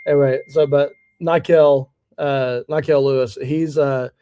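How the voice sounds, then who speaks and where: A man's voice: fairly high pitch, fairly even intonation, a little slow, noisy background. A man who works as a sports announcer, talking casually as an interview guest in an easygoing, modest way.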